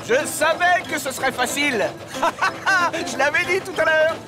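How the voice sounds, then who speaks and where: An actor voicing a cocky, easily rattled little talking steam engine in a children's cartoon. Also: male voice, very high voice, measured pace, a crowd in the background. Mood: boastful, carefree. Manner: boastful, exclamatory. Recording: music behind the voice. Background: music.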